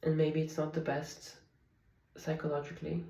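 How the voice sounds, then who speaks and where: A woman in her thirties whose first language is Polish, speaking in a soft, candid, confiding way in a personal diary vlog at home. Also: low voice, monotone, normal speed, echoey room, recorded from a distance. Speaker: vlogger. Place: home, at night.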